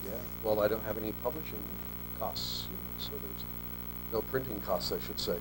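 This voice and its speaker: A man's voice: lowish voice, moderate pace. A man in his forties, born in Australia, talking casually and at length as an author guest in a television interview. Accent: American English, faint Australian trace lost. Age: 40s. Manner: relaxed, talks in long loose sentences with restarts. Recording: mains hum under a local TV studio recording.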